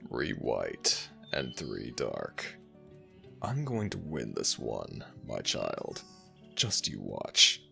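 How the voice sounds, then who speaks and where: An ancient jackal god, as a man acts him out, speaking in an extremely low, gravelly rumble. He talks slowly and lets his pitch roll up and down as he teases the listener affectionately. Soft guitar music plays faintly underneath. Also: huge pitch swings, exaggerated voice. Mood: playful warm. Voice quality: very deep gravelly voice, slow and soothing. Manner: affectionate, playfully self-mocking. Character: ancient jackal god, gentle, teasing, fond. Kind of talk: ASMR audio roleplay.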